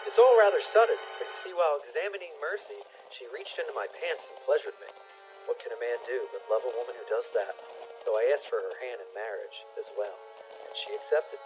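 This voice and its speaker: A man's voice: medium pitch, animated, fairly quick, music in the background, lightly acted, poor audio. A man playing an earnest, formal hospital doctor with melodramatic delivery in a comic audio play. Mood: smitten. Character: Doctor Rob Sewer, earnest, lovestruck male hospital doctor.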